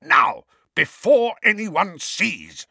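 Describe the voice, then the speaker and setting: A man voicing a furious, blustering middle-aged uncle in a croaky, snarling voice for a storybook. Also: mid-range voice, wildly swooping pitch, measured pace, sharp tone, no echo, clean studio sound, pitched above the performer's own voice, exaggerated voice.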